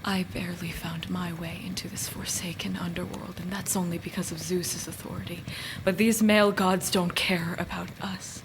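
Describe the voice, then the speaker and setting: A woman playing a stern, cool, unsentimental goddess in a radio play, speaking in a measured, deliberate, often hushed way. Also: fairly low pitch, little pitch movement, background noise, close to an everyday voice. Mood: stern, goading.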